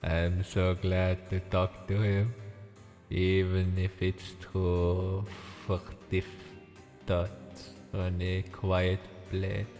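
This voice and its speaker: A man voicing a brooding, ancient vampire master from a visual novel, speaking softly in a solemn, formal manner. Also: deep voice, completely flat delivery, full character voice. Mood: solemn, tender. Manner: slow, solemn, formal delivery. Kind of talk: visual novel read-aloud with dramatic voice acting. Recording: echoing reverb, music behind.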